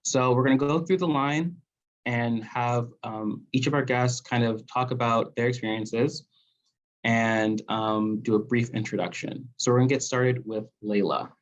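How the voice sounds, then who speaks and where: A young man hosting a virtual panel as program coordinator, relaxed and using many fillers, over a video call. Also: mid-range voice, unhurried. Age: young adult. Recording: dull lo-fi call audio.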